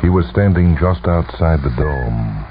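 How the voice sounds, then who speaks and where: A man narrating a story for radio in a deliberate, dramatic manner with weighty pauses. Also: rumbling low, animated, background noise. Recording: dull lo-fi old radio recording. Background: music.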